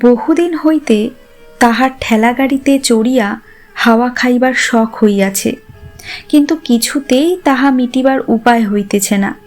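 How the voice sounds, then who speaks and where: A woman's voice: on the high side, a little slow, a little mellow, soft background music. A woman narrating a literary story a script.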